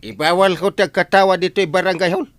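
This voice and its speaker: A man's voice: sits high, fairly even intonation, fast talker, close to an everyday voice. A man with an Ilocano accent playing a grumbling, bossy village captain in a radio comedy.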